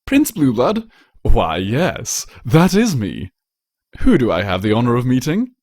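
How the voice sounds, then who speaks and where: A man's voice: mid-range voice, huge pitch swings, measured pace, a little mellow, no room sound, clean studio sound, pitched above the performer's own voice. A man voicing a vain, self-satisfied stallion prince in a storybook reading, pompous and formal in manner. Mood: proud.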